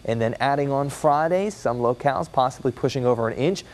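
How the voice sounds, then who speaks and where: American guy doing the tv weather, medium pitch, explaining the forecast off the cuff. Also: a little fast, darkish tone. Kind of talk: ad-libbed broadcast weather report.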